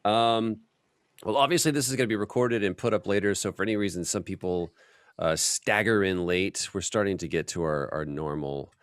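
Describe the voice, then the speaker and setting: Deep-voiced man hosting casually. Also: moderate pace, smooth, no room sound, studio quality. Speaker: host. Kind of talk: casual workshop interview.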